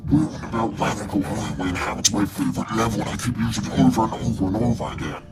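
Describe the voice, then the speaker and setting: A man voicing boastful robotic and demonic villains, gravelly and threatening, through voice effects. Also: lowish voice, fast, voice pushed down. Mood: gruff, impatient. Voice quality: gravelly, processed. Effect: audio-editor voice effects. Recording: loud music behind voice.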